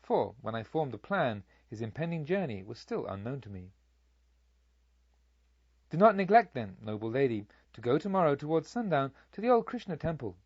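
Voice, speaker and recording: A man's voice: a little high, slightly rough, no echo, muffled recording. A man voicing a reformed male bandit in a storybook, speaking earnestly, formally and with emphasis to a noble lady. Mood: courteous urging.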